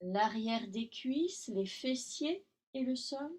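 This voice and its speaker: A woman yoga teacher guiding a relaxation session in calm, instructional short phrases with long pauses. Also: sits high. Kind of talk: guided Yoga Nidra relaxation.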